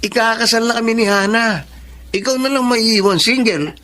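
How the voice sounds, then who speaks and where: An actress playing a laid-back, teasing young friend in a radio-style drama. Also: female voice, on the high side, moderate pace, fairly bright tone, natural voice. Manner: teasing, easygoing.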